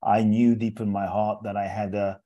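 A man in his forties who grew up in England, a speaker telling his life story as an interview guest in an earnest, measured way, often repeating words as he builds a thought. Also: on the low side, little pitch movement, mellow, highs cut off. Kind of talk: reflective personal storytelling in an interview.

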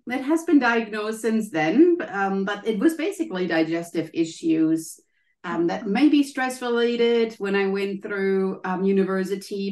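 A woman's voice: medium pitch, a little mellow, reverberant. A German woman with a German accent, a guest coach explaining things in casual interview answers, with frequent ums and maybes. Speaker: guest, health and happiness coach.

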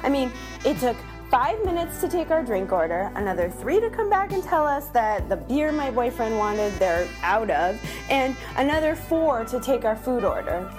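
A woman's voice: fairly high pitch, very animated, normal speed, hardly any pauses. Woman acting a melodramatic, exasperated female reviewer over music. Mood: indignant. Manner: melodramatic, sardonic, emphatic. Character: exasperated, snarky young female online restaurant reviewer. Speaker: performer giving a dramatic reading. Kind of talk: theatrical comic monologue.

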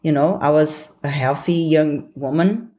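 A woman's voice: low-pitched, unhurried, mellow. A young adult woman from Brazil with a Brazilian accent, hosting a solo podcast in an earnest, encouraging way and laughing easily. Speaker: podcast host. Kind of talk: solo motivational podcast talk.

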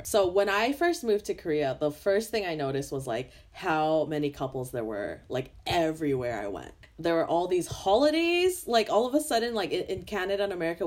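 Expressive low-voiced woman host. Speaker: podcast host. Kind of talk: casual interview chat.

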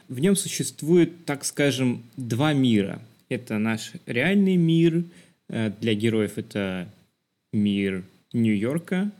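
A man's voice: mid-range voice, a little mellow. A man co-hosting a podcast, discussing film in a thoughtful, explanatory conversational way. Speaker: podcast co-host.

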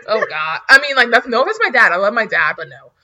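A woman's voice: a little high, very animated, quick pace, a crowd in the background. A woman in her early twenties chatting casually as a podcast co-host, blunt and full of fillers and laughs. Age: 20s. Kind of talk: casual chat.

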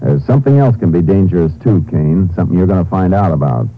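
An actor playing a tough, dry Texas Ranger with a clipped, firm delivery in a 1950s radio crime drama. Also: male voice, deep voice, animated, quick pace. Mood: stern. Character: tough, dry male Texas Ranger, unyielding lawman and narrator. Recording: noisy 1950s radio, narrow thin sound.

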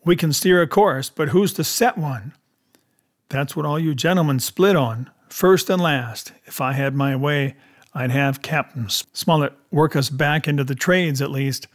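A male narrator puts on a cunning pirate sea cook for an adventure audiobook. He is commanding and scornful, with emphatic seafaring phrasing and a slightly high male pitch. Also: unhurried, natural voice. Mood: scornful, scheming. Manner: emphatic, rustic seafaring speech.

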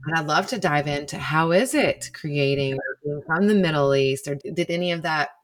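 A woman's voice: deep voice, normal speed, no room sound. A woman hosting a podcast interview, musing aloud with lots of "like" and loosely framed questions. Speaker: podcast host. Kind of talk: casual interview chat.

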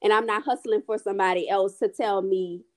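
A woman's voice: mid-range voice, fairly even intonation, a little fast. A woman business owner talking in a casual chat, earnest and emphatic, often asking if you know what she means.

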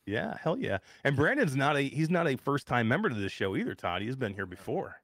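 A man hosting a live gaming show with a lively voice that swings widely up and down. He jokes, laughs a lot and curses casually. The sound is dry and close to the mic. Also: medium pitch, brisk, dry recording. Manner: energetic, laughs often, casual swearing. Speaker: show host.

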